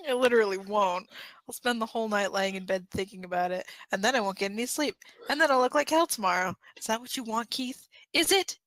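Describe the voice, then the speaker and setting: A woman's voice: a little high, a little fast, a bit bright, no echo, close to an everyday voice, poor audio. A woman voicing a playful, pleading, dramatic young man in a fan-made audio drama. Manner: teasing, dramatic.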